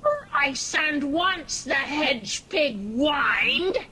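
A woman's voice: sits high, drawn-out words, pushed and bright, slight background noise, full character voice. A woman voicing an old witch who chants spells over a cauldron, in an amateur radio drama. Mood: chanting. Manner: chanting incantations.

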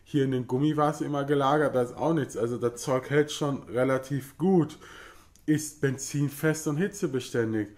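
A man's voice: mid-range voice, moderate pace, a little mellow. A man in his thirties with a cold, from Germany, casually explaining car parts in a home garage vlog with lots of filler sounds. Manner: talkative, frequent filler sounds, matter-of-fact. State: has a cold. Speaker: car hobbyist YouTuber. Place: home garage workshop, indoors. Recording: echoey garage room.

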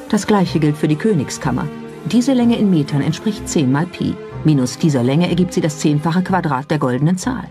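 Documentary narrator over music. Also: mid-range voice. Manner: measured storyteller posing rhetorical questions. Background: background music.

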